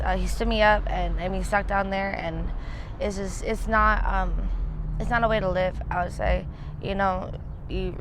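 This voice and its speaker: A woman's voice: medium pitch, vocal fry, noisy background. A woman in her twenties from upstate New York, answering a street interview in a rambling way with many fillers. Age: 20s.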